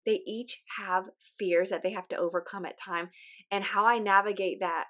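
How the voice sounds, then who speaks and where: Brief: woman host, voice weak from illness, earnest and encouraging, middle pitch. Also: steady tone, normal speed, a bit bright. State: weak, recovering voice. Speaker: podcast host. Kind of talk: casual podcast monologue.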